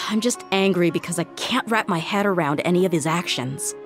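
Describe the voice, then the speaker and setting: A woman's voice: fairly low pitch, normal speed, soft background music, natural voice. An actress playing a tough, proud young mercenary woman in a video game, blunt and frank with hurt underneath. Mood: frustrated, hurt. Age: young adult. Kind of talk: video game dialogue acting.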